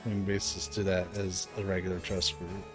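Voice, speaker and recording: A man's voice: low voice, level tone, fast, over music. A man streaming a video game live, commenting casually and reading bits of on-screen text aloud.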